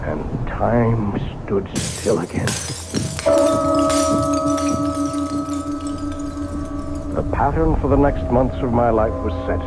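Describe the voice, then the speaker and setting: An actor playing an obsessive, secretive, irritable shopkeeper who tells his own eerie tale in an intense old-time radio horror style. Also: mid-range voice. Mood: brooding, secretive. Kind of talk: old-time radio horror acting, dramatic first-person narration. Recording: muffled old radio recording with music.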